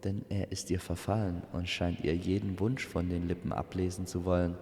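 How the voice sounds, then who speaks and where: A man's voice: deep voice, monotone, moderate pace, warm tone, long reverb tail, lightly acted. A man voicing a serene, delighted female mythical matchmaker in a fantasy audio story, with soothing, formal speech. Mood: calm, explaining.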